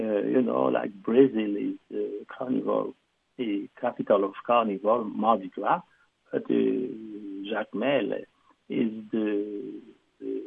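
Man, low gravelly husky voice, halting with fillers, tinny phone line. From Haiti. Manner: halting, many fillers and restarts. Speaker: guest, historian and literature professor. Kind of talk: conversational interview answers.

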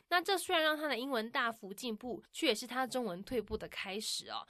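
A woman narrating a language-learning podcast in a friendly, explaining way, ending many sentences with soft particles. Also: a little high, no echo, studio quality. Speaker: podcast host explaining in Mandarin. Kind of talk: scripted bilingual podcast narration.

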